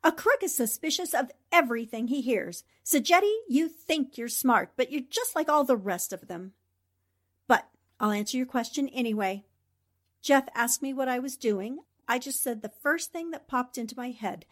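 A woman playing a plucky, sharp-tongued newspaper reporter in a radio drama, composed and wry. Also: high voice, expressive, moderate pace. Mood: wry defiance.

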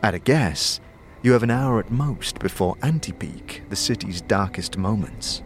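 Low-voiced man narrating in second person. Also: a little slow, music in the background. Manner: steady, descriptive reading. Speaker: narrator and producer.

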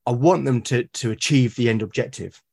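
Husky British man, earnest and halting. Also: medium pitch, darkish tone. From Britain. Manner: earnest, halting, repeats words. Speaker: podcast guest. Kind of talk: conversational interview.

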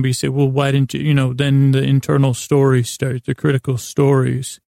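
Gravelly guy doing a rambling sleep podcast, flat delivery, keeps restarting sentences. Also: medium pitch, no echo, studio quality. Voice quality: creaky, gravelly. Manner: meandering and halting, with repeated false starts and tangents. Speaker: host of a bedtime sleep podcast. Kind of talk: rambling, unhurried bedtime monologue.

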